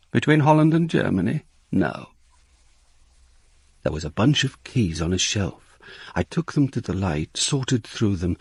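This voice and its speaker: An actor playing a shrewd, wry police inspector who narrates his case in a measured, reflective way for a radio drama. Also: mid-range voice, husky, dry recording, exaggerated voice. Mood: musing, puzzled. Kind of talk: radio drama first-person narration and dialogue.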